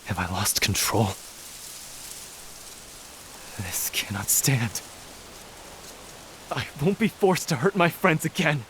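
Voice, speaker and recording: A man playing a troubled, honourable young warrior prince in a video game, grave and measured. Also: mid-range voice, big pitch swings, a bit bright, a little hiss or hum. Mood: shaken, resolute. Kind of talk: video game cutscene acting.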